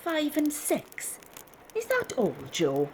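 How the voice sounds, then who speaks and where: A woman's voice: high voice, wildly swooping pitch, slow, a little hiss or hum, heavily acted. An actress playing a grumbling, easily shocked poor working-class woman in an audio drama. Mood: indignant, shocked.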